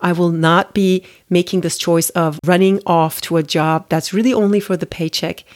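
A woman's voice: lowish voice, fairly even intonation, moderate pace. A woman in her forties from Turkey, hosting a podcast and telling her own story in a reflective, conversational way with restarts and fillers. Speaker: podcast host. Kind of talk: personal storytelling monologue.

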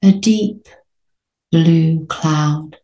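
A woman leading a guided sleep meditation in a soothing, gentle, unhurried manner with long pauses. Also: sits low, reverberant.

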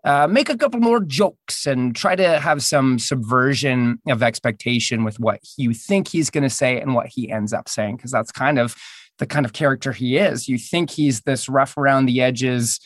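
A man's voice: medium pitch, normal speed, dry recording. Man thoughtfully explaining, close dry sound. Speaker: voice actor interviewed about playing roles in an audio drama. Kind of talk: reflective interview conversation.

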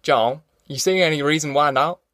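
A man's voice: on the high side, moderate pace, fairly bright tone, no room sound, studio quality, close to an everyday voice. Man voicing a blustering country father. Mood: practical. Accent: rural Southern American. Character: bewildered middle-aged farmer father losing his authority. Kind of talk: audiobook character acting.

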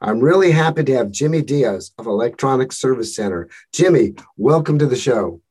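A man hosting a podcast, reading a measured scripted introduction and then asking his guest questions. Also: mid-range voice, animated, darkish tone, breathy edge. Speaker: podcast host.

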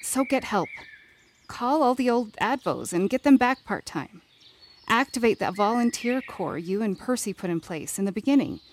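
A woman's voice: a little high, expressive, moderate pace, slight background noise, natural voice. A woman playing a candid, wry leader and loyal confidante in a fiction podcast drama, with a blunt, teasing manner. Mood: insistent.

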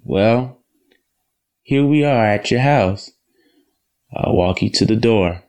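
A man playing a courteous, earnest young gentleman courting his sweetheart in an audio drama. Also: lowish voice, lively intonation, takes it slowly.

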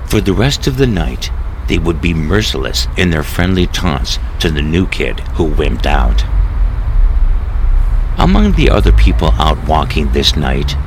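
A man reading his own story as scripted audiobook narration, in a deliberate, dramatic storytelling manner. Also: a bass voice, noisy background. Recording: music bed under narration. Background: music.

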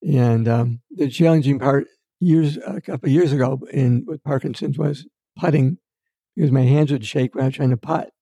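An older man with a raspy voice, answering questions in a casual interview, soft-spoken and unhurried. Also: medium pitch, no room sound. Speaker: interview guest, retired dentist.

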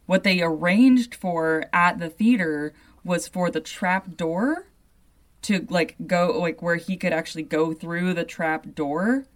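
A young adult woman with a deep voice, hosting a podcast and telling a story in a loose, chatty way full of "like" asides. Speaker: podcast host. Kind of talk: casual storytelling chat.

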